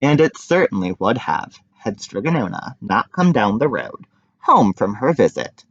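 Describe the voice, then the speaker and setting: A man reads a picture book to children in a very lively, swooping voice. He is expressive and informal, and the recording is dry and a little dull. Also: fairly low pitch, moderate pace, no echo. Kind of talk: animated children's read-aloud.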